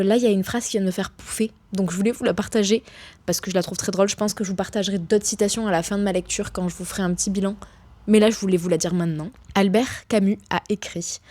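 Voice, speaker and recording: A woman's voice: mid-range voice, mellow. A young woman with a cold, chatting casually to camera about her reading, with self-deprecating asides. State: congested with a cold. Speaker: book vlogger. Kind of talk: casual vlog chat.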